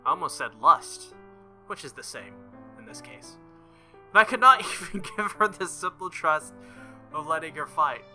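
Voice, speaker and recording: A man with a very bright, forceful voice hosts a visual novel playthrough. He reads the story aloud and breaks off for casual remarks, his pitch moving in lively swings. Soft game music plays underneath. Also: moderate pace. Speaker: gaming channel host.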